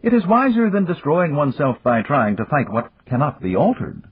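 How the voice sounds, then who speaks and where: A man voicing an older Japanese industrialist who is round, calm and philosophical, speaking in a measured, courteous, gently lecturing way. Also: fairly high pitch, husky, pitched above the performer's own voice. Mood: measured, amiable. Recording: tinny, phone-like lo-fi.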